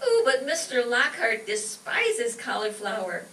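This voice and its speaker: A woman's voice: fairly high pitch, very animated, measured pace, a bit bright. A woman playing a dutiful, earnest young daughter in an old-time radio comedy, girlish and exclaiming. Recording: roomy, distant stage pickup with faint noise.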